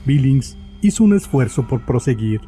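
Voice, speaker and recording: A male narrator with a very dark, mellow voice and a medium pitch. He reads a scripted horror story, with some music behind him. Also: big pitch swings, normal speed.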